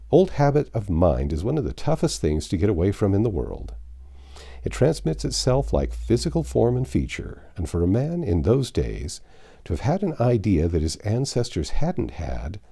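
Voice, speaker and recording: A man reads a classic novel aloud in a low, soft-edged voice. His storytelling is expressive, with a very animated melody that moves widely up and down. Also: vocal fry, breathy edge. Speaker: audiobook narrator.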